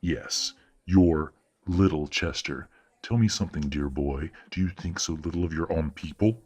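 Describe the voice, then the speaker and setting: Man playing a very deep, husky, condescending werewolf father. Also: darkish tone. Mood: cold contempt. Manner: measured, patronizing, coldly genteel. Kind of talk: audio roleplay drama acting.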